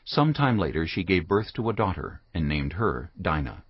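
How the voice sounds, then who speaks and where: A man's voice: low-pitched, sing-song, normal speed, husky, dry recording, highs cut off. A man reading scripted narration for a dramatized audio Bible. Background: background music.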